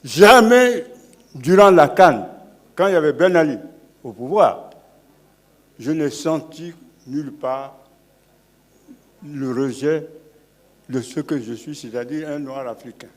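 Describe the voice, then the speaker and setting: An older man from Guinea speaking as an elder guest on a public panel, oratorical and building to forceful declarations. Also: fairly high pitch, takes it slowly, pauses often. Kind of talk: impassioned speech at a public panel.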